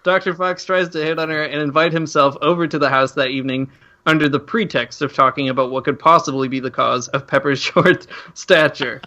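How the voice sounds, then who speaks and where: A man's voice: a little high, steady tone, brisk, pushed and bright, muffled recording. A man chatting casually and comedically as a podcast host, joking and drawing things out in a teasing way.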